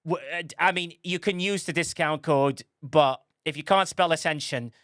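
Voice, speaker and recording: A man's voice: on the high side, normal speed, a bit bright, no echo, clean studio sound. A man hosting a livestream, chatting casually in a rambling way and often correcting himself as he goes. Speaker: livestream host.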